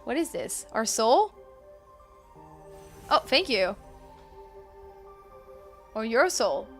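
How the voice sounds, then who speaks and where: A woman streams a video game, chatting casually and reacting with gushing exclamations. Her voice sits fairly high, and soft game music plays underneath. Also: sing-song, drawn-out words. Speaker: livestream gamer.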